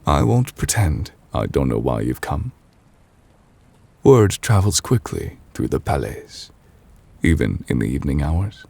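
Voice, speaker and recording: A man playing an ancient, weary chief judge in an intimate ASMR roleplay, formal and gentle, speaking in measured, hesitant phrases. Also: very animated, heavily acted. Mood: quietly welcoming. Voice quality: husky, breathy low voice. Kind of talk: intimate ASMR audio roleplay, slow dramatic monologue.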